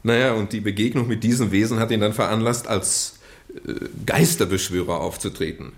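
An actor playing an evasive, indignant young English aristocrat of about thirty in a German radio drama, haughty yet hesitant. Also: male voice, lowish voice, a little fast, close to an everyday voice. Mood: flippant. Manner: haughty, hesitant, hedging with polite formality.

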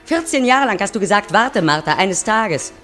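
A woman's voice: medium pitch, animated, normal speed, a bit bright, close to an everyday voice. An actress playing a weary railwayman's wife in a 1950s radio play, addressing her husband intimately and dramatically. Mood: alarmed, reproachful. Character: weary, pleading railwayman's wife looking back on youth. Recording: 1950s radio recording over music.

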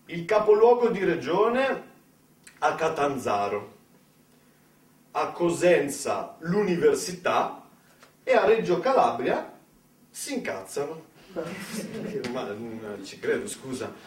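Male Italian monologuist, slightly high-pitched, wry and conversational with rhetorical questions, recorded off-mic in an echoey space. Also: sing-song, slow, pauses often. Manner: ironic, colloquial, rhetorical questions and asides. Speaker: storyteller in a one-man theatre monologue. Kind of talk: satirical narrative theatre monologue, conversational. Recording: echoey room, distant microphone.

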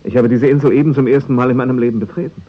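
A man's voice: medium pitch, fairly even intonation, rapid-fire, breathy edge, lo-fi sound, natural voice. A man acting a dry, sceptical newcomer in a 1960s German radio play. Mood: reserved, dry. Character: sceptical, dry male newcomer drawn into an affair.

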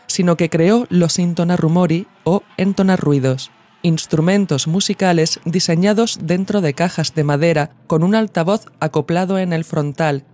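A woman reads a scripted audio essay as the host of a podcast. Her delivery is measured and reflective, the careful reading of a narrator. Faint music plays behind her voice. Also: fairly low pitch, dark tone.

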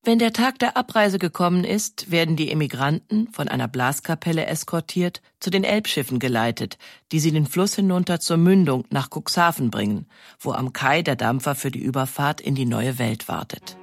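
A woman's voice: sits low, animated, normal speed, no echo, studio quality. A woman reads scripted documentary narration as a narrator, in pronunciation.

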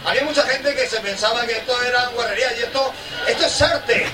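A man's voice: extremely high pitch, quick pace. A man giving jokey, casual interview answers. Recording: echoey, distant, loud music.

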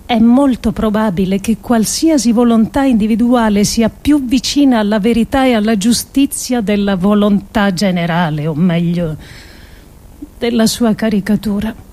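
A woman performing a scripted radio reading in a measured, deliberate, declamatory way with pauses. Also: mid-range voice, mellow. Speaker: performer reading an adapted essay.

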